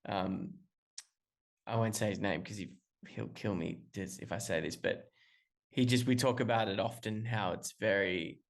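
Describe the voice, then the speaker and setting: A man's voice: on the low side, normal speed, creaky. A man from Australia, a musician guest calling in remotely, talking casually in long rambling answers full of restarts and fillers. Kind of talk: casual interview talk.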